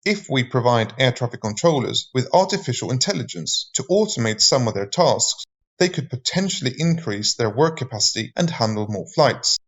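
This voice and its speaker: A man narrating a scripted explainer as a project presenter, in a measured, formal way. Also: mid-range voice, lively intonation, breathy edge.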